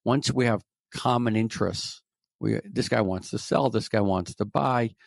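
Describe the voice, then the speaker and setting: A man's voice: lowish voice, normal speed, vocal fry, dry recording, studio quality. A middle-aged man with a New York accent, a sales trainer speaking as a podcast guest in an emphatic, coaching way that repeats key phrases. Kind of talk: conversational interview.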